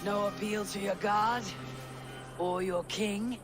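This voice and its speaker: A steady Viking leader, firm and measured, bright high voice. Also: male voice, over music. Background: game score.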